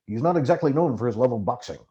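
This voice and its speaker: A man voicing a gruff, profane, cynical journalist in an audiobook, brusque and haranguing. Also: mid-range voice, animated, racing, mellow, dull audio, natural voice. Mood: probing, skeptical. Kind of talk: acted audiobook character dialogue.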